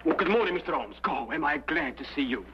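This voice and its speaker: A man acts a frantic Cockney escape artist in an old detective drama. His pitch swoops about as he rattles on, repeating himself and pleading. The recording is dull, with some hum. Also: expressive, fast, loud music behind the voice, close to an everyday voice. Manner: rambling, repetitive, pleading.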